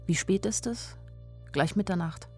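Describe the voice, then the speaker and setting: A woman's voice: medium pitch, a little slow, darkish tone, soft background music, close to an everyday voice. An actress playing an anxious young woman who narrates a radio play as an intimate inner monologue in short, plain sentences. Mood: anxious, urgent. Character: anxious young woman narrating her flight, inwardly urgent. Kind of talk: literary radio play narration in present tense.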